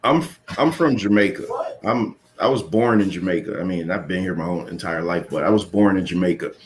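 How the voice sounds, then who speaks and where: A man's voice: sits low, a little fast, a little hiss or hum. A man in his thirties giving blunt opinions in a casual panel chat, often repeating words as he starts a point. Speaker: podcast panelist.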